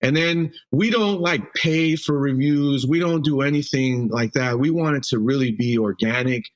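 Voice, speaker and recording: A man's voice: medium pitch, moderate pace. Mexican-born man, chatty business owner. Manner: loose and talkative, many fillers and restarts. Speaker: roofing company CEO, podcast guest. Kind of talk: casual interview answers.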